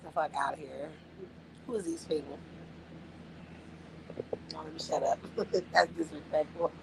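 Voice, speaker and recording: A woman's voice: low voice, steady tone, a little slow, vocal fry, background noise. A woman co-hosting a casual podcast chat, blunt and profane, losing her voice. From Las Vegas area. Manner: blunt, profane, emphatic storytelling. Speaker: podcast co-host.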